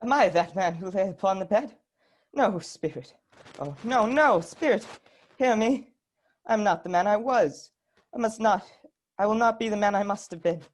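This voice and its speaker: A woman's voice: medium pitch, moderate pace, natural voice. A young woman playing a repentant old miser in a dramatic, emphatic reader's theatre performance. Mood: desperate pleading.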